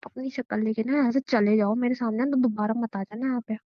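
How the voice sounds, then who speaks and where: Woman acting a furious high-voiced betrayed wife. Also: fairly even intonation, fairly quick, no room sound, natural voice. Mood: furious, defiant. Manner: scolding, repeats phrases insistently. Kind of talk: hobby audio drama, staged husband-wife quarrel. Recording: muffled phone call recording.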